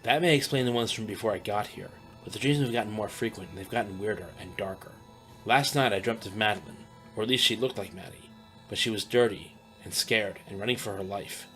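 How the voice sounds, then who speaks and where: A man's voice: on the low side, brisk, fairly bright tone, poor audio. A man voicing a young male protector who is a worried, hesitant brother, with faint music behind. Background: faint background music.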